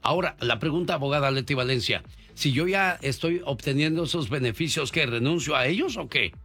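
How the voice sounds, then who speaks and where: A man's voice: fairly high pitch, brisk, bright, forceful tone, faint music behind. A man hosting a radio interview in a colloquial, folksy way. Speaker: radio host. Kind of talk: lively conversational radio interviewing.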